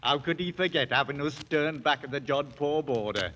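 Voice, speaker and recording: An actor playing a cocky, colloquial ex-soldier rogue in a 1950s radio drama. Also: male voice, on the high side, animated, moderate pace, sharp tone, slight background noise. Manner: colloquial, swaggering. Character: cocky British ex-soldier rogue with grand ambitions. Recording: dull vintage radio recording, long reverb.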